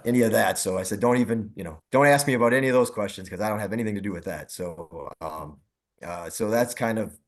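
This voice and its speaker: A man, a former minor league general manager, reminiscing casually as a guest in a halting, wandering, self-qualifying way. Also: on the low side.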